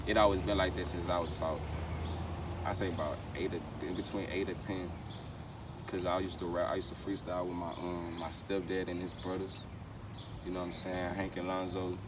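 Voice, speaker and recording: Very low-voiced American man, slangy and casual. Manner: slangy, repeats verbal tags like "you know what I'm saying". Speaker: rapper being interviewed. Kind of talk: casual interview chat. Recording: noisy, distant mic, outdoor street ambience.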